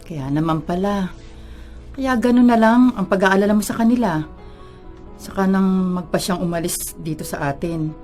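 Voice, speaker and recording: A woman's voice: medium pitch, normal speed, darkish tone, faint music behind, close to an everyday voice. A woman playing a caring, protective middle-aged mother with a Filipino accent in a radio drama. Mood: firm, probing.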